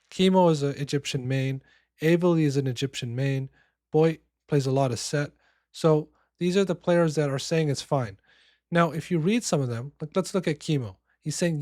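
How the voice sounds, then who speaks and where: Guy with a slightly high voice, kinda hoarse from being sick, rambling game talk, dry close mic. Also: mellow, no echo. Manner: loose, filler-heavy, restarts phrases. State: hoarse, losing voice from illness. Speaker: game commentator. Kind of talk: casual commentary.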